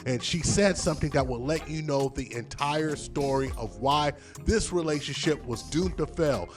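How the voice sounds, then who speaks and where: A man's voice: on the high side, moderate pace. A man giving opinion commentary in a played video, lecturing emphatically and hammering home each point. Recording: music bed under the voice.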